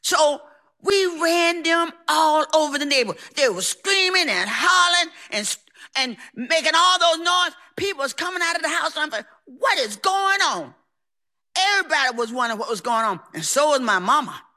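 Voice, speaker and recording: A woman's voice: high-pitched, expressive, normal speed, bright, forceful tone. A woman telling a personal story in a theatrical, emphatic, folksy way, acting out what people said. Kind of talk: live personal storytelling on stage.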